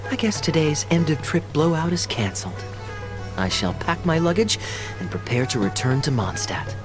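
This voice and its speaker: An actor voicing a suave, teasing young male knight in a fantasy video game, smooth and playfully eloquent. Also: medium pitch, natural voice. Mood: playfully dramatic. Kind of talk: polished video game character acting. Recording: game dialogue over background music.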